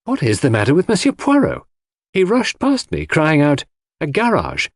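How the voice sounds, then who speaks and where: A man playing a composed, puzzled gentlewoman in an audiobook mystery. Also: fairly high pitch, huge pitch swings, moderate pace, gravelly, no echo, studio quality, pitched above the performer's own voice, exaggerated voice. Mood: agitated, puzzled.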